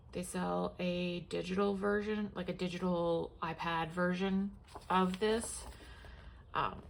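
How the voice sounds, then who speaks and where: A woman's voice: on the low side, gravelly, a little hiss or hum. A young adult woman chatting casually as she shows her planners, congested and sniffling, rambling with lots of fillers and restarts. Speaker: planner vlogger. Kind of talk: casual show-and-tell chat.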